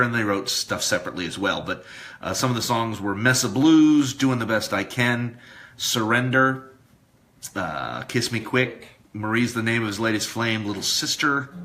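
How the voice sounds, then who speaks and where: A man presenting a live radio show, talking casually and a bit haltingly through history notes. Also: fairly low pitch, bright, forceful tone.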